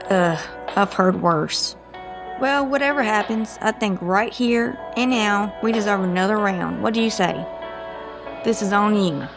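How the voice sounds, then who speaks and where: A woman playing a guarded, sarcastic female agent with a creaky voice and a folksy, teasing manner in an audio drama. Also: medium pitch, unhurried, music in the background, close to an everyday voice. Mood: wry, resolute.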